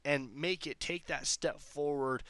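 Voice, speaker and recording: A man's voice: on the high side, measured pace, a bit bright, no echo. A man giving a casual explanatory monologue as a tech presenter, enthusiastic and emphatic, often repeating phrases to drive points home. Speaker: presenter of a tech demo video.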